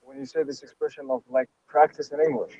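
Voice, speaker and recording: A man's voice: mid-range voice, steady tone, fast talker, warm tone, husky. A man from Algeria, an Arabic speaker, answering as a lecture participant over a video call, hesitant and full of fillers. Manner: hesitant, many "like" and "you know" fillers. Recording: dull, dry online call audio.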